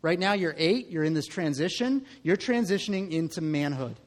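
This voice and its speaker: A man's voice: on the high side, expressive, normal speed, in a large hall. A man in his thirties preaching a sermon as a pastor, in a conversational way, often repeating the first word of a phrase. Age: 30s.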